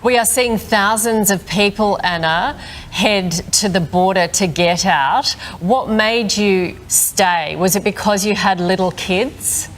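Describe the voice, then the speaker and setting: Measured female news anchor. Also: mid-range voice, slight background noise. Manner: measured, formal. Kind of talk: live news interview.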